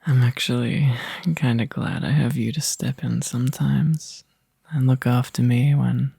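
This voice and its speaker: A man playing a tired, overworked young boyfriend in a soft, intimate ASMR roleplay, halting and breathy, often dropping into a whisper close to the mic. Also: medium pitch, steady tone, no room sound, full character voice. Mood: sleepy, reassuring. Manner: halting, drowsy, sighing delivery. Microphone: close microphone, dry.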